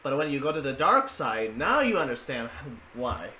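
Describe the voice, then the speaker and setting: A man giving casual, halting commentary as the host of a reaction video. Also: mid-range voice, big pitch swings, bright, forceful tone, a little hiss or hum.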